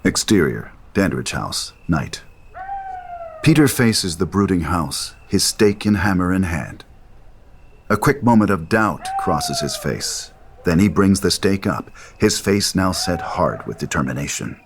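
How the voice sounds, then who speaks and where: A man's voice: deep voice, wildly swooping pitch, measured pace, a little hiss or hum. A man reading stage directions as the narrator of a dramatic screenplay reading.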